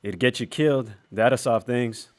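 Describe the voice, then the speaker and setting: Man playing gruff, threatening frontier rancher. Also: medium pitch, a little slow, lightly acted. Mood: angry, contemptuous. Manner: gruff, threatening, rustic Western speech. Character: hot-tempered bullying frontier rancher, a possessive brother. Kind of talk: old-time radio Western acting.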